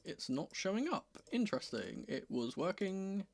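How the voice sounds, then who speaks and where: Slightly croaky man livestreaming. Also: high-pitched, sing-song, moderate pace, dry recording. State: a bit croaky, under the weather. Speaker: football fan streamer hosting a watchalong. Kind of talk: casual livestream chat.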